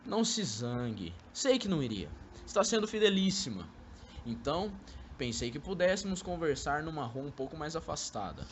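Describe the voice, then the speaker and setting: Guy doing a sly young brazilian sweet-talker in a radio play, animated highish voice, noisy amateur recording. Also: moderate pace. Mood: pleading. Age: young adult. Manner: sweet-talking, teasing. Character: jovial, sly, pleading young man wooing his ex-lover. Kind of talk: amateur radio drama acting.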